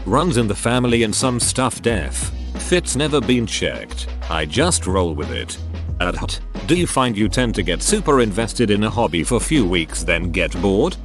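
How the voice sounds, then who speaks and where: A man narrating online forum posts as scripted reading, moving from one short comment to the next in an even reading tone. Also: lowish voice. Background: background music.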